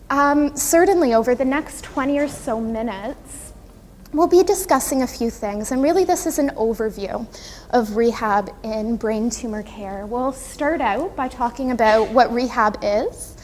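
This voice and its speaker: A woman with a soft voice giving an earnest, gracious conference talk, laying out her points carefully. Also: on the high side. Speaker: conference presenter, occupational therapist. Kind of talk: prepared conference talk to an audience. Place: conference room, speaking to an audience. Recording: echoey hall with audible background noise.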